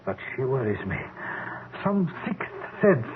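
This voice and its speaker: An actor playing an anxious scientist who is secretly in love, in a 1970s radio drama. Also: male voice, mid-range voice, big pitch swings, unhurried, slight background noise. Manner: wavering, doubtful, pleading. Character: anxious middle-aged male scientist, a secret lover. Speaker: scientist character. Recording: narrow, phone-like old radio broadcast.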